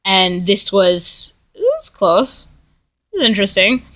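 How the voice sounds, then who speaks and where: A woman's voice: mid-range voice, wildly swooping pitch, unhurried, fairly bright tone. A young American woman teaching abroad, chatting in a casual video letter to a friend, rambling with lots of ums and likes. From United States.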